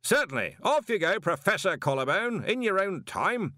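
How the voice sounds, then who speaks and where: A man's voice: high-pitched, big pitch swings, moderate pace, bright, forceful tone, no echo, studio quality, voice pushed up, full character voice. A man doing a bellowing old wizard. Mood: hearty. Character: booming jovial male wizard chancellor.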